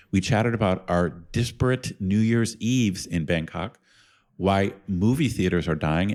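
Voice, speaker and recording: A man's voice: fairly low pitch, darkish tone. A man in his forties, an easygoing podcast co-host who reads the show's announcements and banters. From United States. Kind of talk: scripted ad read and casual chat.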